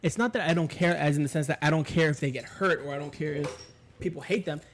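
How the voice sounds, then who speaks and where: A man's voice: a little high, quick pace, noisy background. A man from New York co-hosting a podcast, talking in a rambling, opinionated way with swearing and restarts. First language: English. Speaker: podcast co-host. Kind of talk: casual chat.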